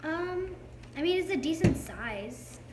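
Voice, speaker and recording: A woman's voice: very high voice, animated, takes it slowly. A woman chatting casually as she helps out with a product review. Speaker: co-reviewer, helper. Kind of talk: casual chat. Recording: very noisy, clicky home recording.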